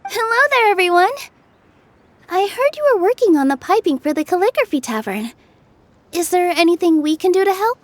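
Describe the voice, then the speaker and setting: High-voiced, gentle young dancer. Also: female voice, sing-song, normal speed, no echo. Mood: kindly. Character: gentle, earnest young female dancer, polite and hopeful. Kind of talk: game dialogue acting. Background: music.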